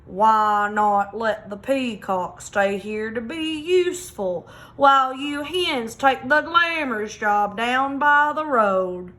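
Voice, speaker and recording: A woman plays an old storybook farm dog, laid-back and in no hurry. The words come slowly and drawn out. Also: on the high side, a bit bright. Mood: suggesting.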